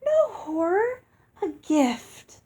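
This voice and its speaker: A woman's voice: very high-pitched, sing-song, very slow, mellow, pitched above the performer's own voice, heavily acted. A woman voicing a vain, beautiful storybook fairy in a musical, lilting, gushing and self-satisfied way. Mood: blissfully smug. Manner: gushing, breezily defiant. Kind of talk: acted children's storybook reading.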